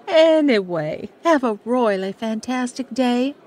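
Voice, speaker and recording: A woman's voice: fairly high pitch, lively intonation, slow. A woman playing a gushing, self-adoring former villain queen turned influencer in an audio comedy, with a regal manner. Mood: breezy, vain.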